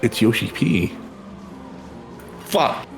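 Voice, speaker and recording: A man's voice: medium pitch, sing-song, extremely slow, gravelly. A man chatting casually as co-host of a game stream. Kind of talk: casual chat. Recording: music in the background. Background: game music.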